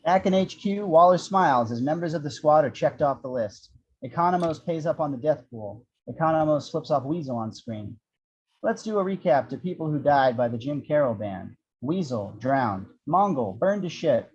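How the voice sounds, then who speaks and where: A man's voice: fairly high pitch, animated, normal speed, darkish tone, breathy edge, muffled recording. A man reading scripted action descriptions as narrator of an amateur table read. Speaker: narrator of stage directions.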